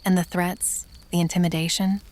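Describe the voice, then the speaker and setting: The firm, formal woman across the table in a noir radio drama's showdown, voice slightly low, dictating terms, played by a woman. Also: little pitch movement, moderate pace, darkish tone, natural voice. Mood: unyielding. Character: steely woman negotiator laying down ultimatums. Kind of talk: audio drama acting.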